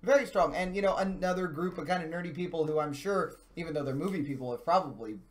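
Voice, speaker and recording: Wordy man, moderately high voice, discussing reviews. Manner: wordy, deliberate hedging, stop-start phrasing. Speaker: co-host of a video game and movie show.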